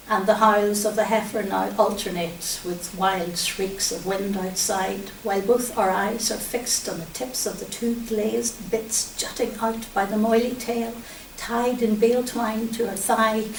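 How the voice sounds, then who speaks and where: A woman reading her poetry aloud at a live event, in measured, deliberate phrases with pauses. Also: mid-range voice. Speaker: poet reading own work. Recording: roomy, distant mic with faint hiss.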